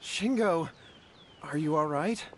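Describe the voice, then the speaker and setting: A man's voice: fairly high pitch, slow pace, a little hiss or hum. A man playing an anxious, earnest young villager in an anime game, polite and fretful. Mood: worried.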